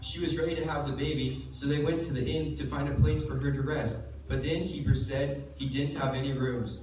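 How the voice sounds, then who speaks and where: A man's voice: medium pitch, fairly even intonation, fairly quick, a little mellow, close to an everyday voice. Man as a pedantic professor, very echoey hall. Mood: explanatory. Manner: assured, lecturing. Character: confident, pedantic professor puppet fond of food. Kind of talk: church puppet show acting. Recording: very echoey hall, distant mic, faint noise.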